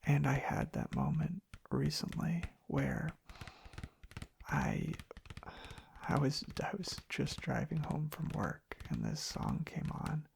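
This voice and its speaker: A young man giving a soft-spoken ASMR ramble close to the microphone, hushed and halting, with pauses mid-sentence. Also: a little high, wildly swooping pitch, gravelly, a little hiss or hum. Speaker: ASMR content creator. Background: soft tapping and clicking.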